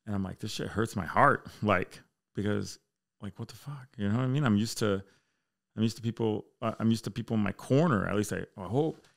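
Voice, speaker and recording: Low, creaky-voiced American man. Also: fairly quick. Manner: candid, slangy, frequently saying bro and man. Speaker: podcast guest, military fire chief. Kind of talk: casual podcast chat.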